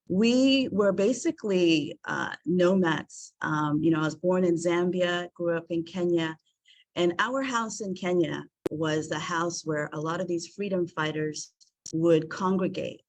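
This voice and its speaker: A woman's voice: lowish voice, no room sound. Earnest woman presenting, full of ums. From South Africa. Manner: warm, earnest, many filler ums. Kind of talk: presentation to an online group.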